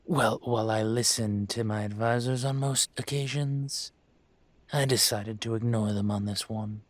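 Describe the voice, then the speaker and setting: A man playing a suave, menacing dragon mafia boss in an audio roleplay, measured and condescending with a teasing edge. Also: on the low side, fairly even intonation, dry recording, natural voice. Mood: stern, condescending. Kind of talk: scripted audio roleplay, intimate.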